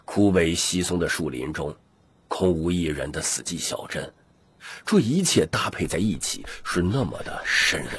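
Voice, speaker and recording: A man's voice: sits low, a little hiss or hum. A man narrating an audiobook story in a dramatic, scripted storytelling style. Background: background music.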